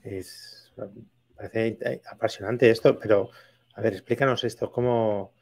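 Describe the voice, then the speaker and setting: Middle-aged podcast host. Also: male voice, fairly low pitch, fairly even intonation, unhurried, creaky, darkish tone. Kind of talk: conversational interviewing. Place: indoors on a video call. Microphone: video call.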